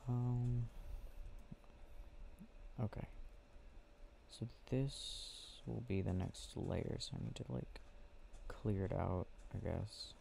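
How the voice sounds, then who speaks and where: Young male ASMR boyfriend, intimate and casual, mellow with a gravelly fry, faint noise and clicking behind. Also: lowish voice. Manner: intimate, unhurried, murmuring to a listener close by. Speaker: ASMR roleplay performer. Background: clicking and game ambience.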